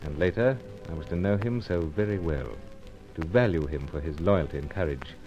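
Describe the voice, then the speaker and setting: An actor playing a suave, unflappable secret agent, clipped understatement and dry wit, in old-time radio adventure style. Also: male voice, sits low, normal speed, a little mellow, faint music behind, natural voice. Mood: reflective narration. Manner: clipped, telegraphic, nonchalant understatement. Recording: dull, muffled old radio recording.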